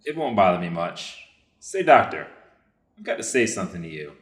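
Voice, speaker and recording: A man's voice: deep voice, big pitch swings, brisk. A man playing a grateful, plain-spoken American prisoner of war in a staged reader's theater drama, informal and earnest. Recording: roomy, echoing space.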